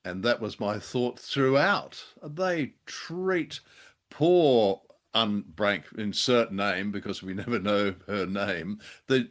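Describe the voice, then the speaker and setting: A man co-hosting a review podcast, chatting casually in a wry, jokey way. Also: lowish voice. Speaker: podcast co-host.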